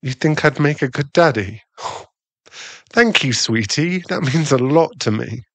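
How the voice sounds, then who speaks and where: A man's voice: a little high, lively intonation, a bit bright, dry recording, heavily acted. A man playing a jealous, doting werewolf husband in an intimate ASMR boyfriend roleplay, teasing and a little bratty. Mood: tender, doting. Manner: slow, intimate, teasing, with sighs and stammers.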